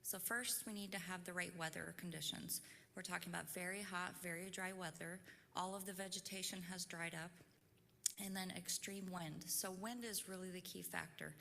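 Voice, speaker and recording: Woman presenter with a quiet, bright, fairly low voice, methodical, in an echoey hall with faint background noise. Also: fairly even intonation, moderate pace, gravelly. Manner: soft-spoken, orderly explaining. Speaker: utility preparedness presenter. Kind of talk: public forum presentation. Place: large meeting hall.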